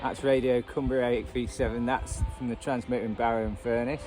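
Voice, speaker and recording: A man's voice: mid-range voice, flat delivery, fairly quick, over loud music. A man with a Northern English accent chatting casually and unscripted as a hobbyist vlogger, thinking aloud with hesitant, trailing phrases. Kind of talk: casual unscripted chat, demonstrating a radio band scan. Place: outdoors at edge of a churchyard. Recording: noisy outdoor recording with traffic ambience.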